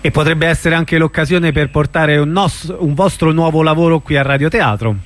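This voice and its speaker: A man's voice: on the high side, normal speed. A man hosting an informal radio interview, asking questions in a conversational way.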